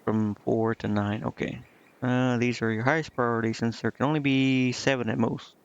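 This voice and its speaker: A man's voice: mid-range voice, fairly even intonation, normal speed, clean tone. A man streaming a game, giving casual, informal commentary and reading aloud, often muttering to himself. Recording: muffled lo-fi stream audio over game music. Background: game music.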